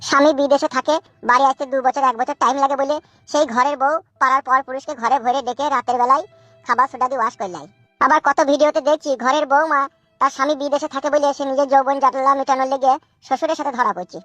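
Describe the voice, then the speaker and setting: A man's voice: very high-pitched, steady tone, fast talker, sharp tone, no room sound, soft background music. A man voicing a coaxing, coarse new husband in a comic cartoon, theatrical and colloquial. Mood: pleading, exasperated.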